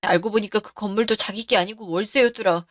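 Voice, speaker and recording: A woman voicing a middle-aged man who is deep in debt and blames others, in a narrated radio story. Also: fast, dry recording, clean studio sound, lightly acted. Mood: pleading. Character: failing middle-aged man, indebted front-man boss.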